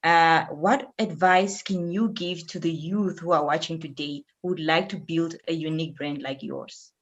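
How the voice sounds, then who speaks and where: A woman moderator reads out prepared questions to a guest in a formal, measured manner. Her voice sits on the lower side. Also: fairly even intonation. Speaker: session moderator. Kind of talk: posing prepared interview questions.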